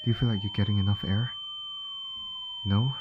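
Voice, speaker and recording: A man playing a gentle, reassuring ambulance medic in an ASMR comfort roleplay, speaking in a soothing, coaxing, attentive way. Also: lowish voice, steady tone, normal speed, muffled recording, very noisy, natural voice. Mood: urgent, reassuring. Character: gentle, reassuring male ambulance medic tending an injured patient.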